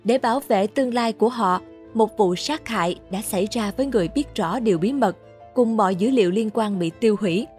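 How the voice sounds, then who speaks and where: A woman's voice: mid-range voice, brisk, a little mellow, soft background music. A woman reading a scripted, dramatic introduction to a book as its announcer.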